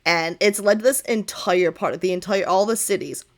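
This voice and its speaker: A woman's voice: mid-range voice, normal speed, natural voice. A woman playing a breezy, easily distracted young gamer in improvised tabletop roleplay, talking in slangy, gushing rambles. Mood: gushing, excited.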